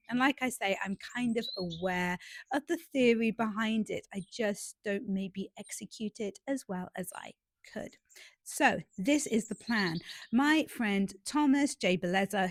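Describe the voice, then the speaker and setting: A woman's voice: medium pitch, no room sound. Chatty woman with a head cold. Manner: chatty, self-deprecating, friendly. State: stuffy nose from a cold. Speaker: romance author and YouTuber. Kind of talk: casual vlog to camera. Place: outdoors in a garden.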